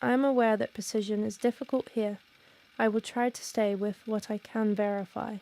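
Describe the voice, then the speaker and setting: A woman's voice: medium pitch, fairly even intonation, normal speed, mellow, lightly acted. A woman playing a rational researcher in a horror audio drama, giving recorded testimony in formal, careful, clinical language. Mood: controlled, uneasy. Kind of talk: scripted found-audio horror monologue.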